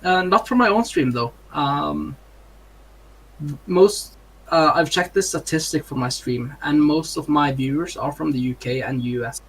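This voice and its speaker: A man's voice: fairly high pitch, a little slow, a bit bright, slightly rough, low-quality recording. A young man with a Norwegian accent, answering interview questions in casual, hesitant English with many ums and uhs. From Norway. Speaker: streamer, interview guest.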